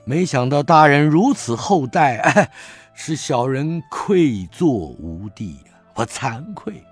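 A man's voice: mid-range voice, big pitch swings, slow, breathy edge, faint music behind, heavily acted. A man voicing a captured male assassin who speaks up in a raised, deferential, pleading tone. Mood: abject. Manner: raised, pleading, self-abasing. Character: humbled male assassin, young-ish, deferential.

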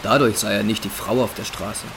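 Low-voiced male horror narrator. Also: fairly even intonation, fairly quick, vocal fry, noisy background. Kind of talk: scripted horror audio drama narration.

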